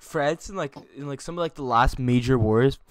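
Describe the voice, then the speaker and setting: A man's voice: mid-range voice, moderate pace, clean tone, dry recording. Rambling young man, dry close sound. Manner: rambling, filler-heavy, joking. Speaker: host. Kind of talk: casual chat.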